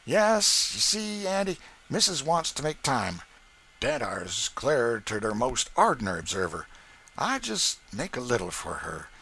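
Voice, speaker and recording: A man's voice: medium pitch, huge pitch swings, unhurried, bright, forceful tone, a little hiss or hum, pitched above the performer's own voice, heavily acted. A man voicing a sly, cheerful young stable hand in an audiobook, with a broad rural dialect and comic exclamations. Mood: sly, exclaiming. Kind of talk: audiobook character voice.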